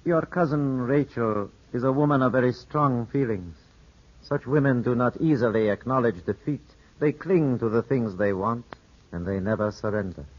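An actor playing a courteous, evasive Italian lawyer in a formal, measured 1950s radio drama style. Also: male voice, medium pitch, natural voice. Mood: guarded, measured. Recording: muffled, scratchy 1950s radio broadcast.

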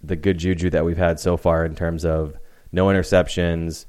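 A man co-hosting a casual sports podcast, relaxed and given to teasing banter. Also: low voice, normal speed. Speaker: podcast co-host.

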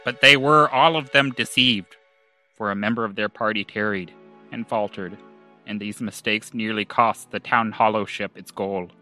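Man doing a bright, portentous epic saga narrator. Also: lowish voice, steady tone, normal speed, soft background music, voice pushed down, low-quality recording. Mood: ominous. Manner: grave, grandiose. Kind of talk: comic amateur audio drama.

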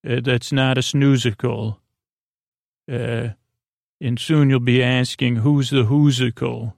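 Creaky-voiced rambling male podcast host. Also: mid-range voice, steady tone, takes it slowly, a little mellow, no room sound, clean studio sound. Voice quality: creaky, gravelly. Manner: hesitant, meandering, with stammered false starts. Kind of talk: rambling, whimsical improvised bedtime monologue.

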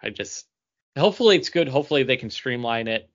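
A man's voice: medium pitch, lively intonation, fast talker, highs cut off. A man co-hosting a podcast in casual, conversational chat. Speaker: podcast co-host.

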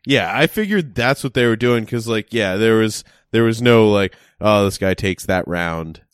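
Deep smooth-voiced man joking around. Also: lively intonation, no room sound, studio quality. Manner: joking, laid-back, drawn-out speech with many fillers. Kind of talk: casual chat.

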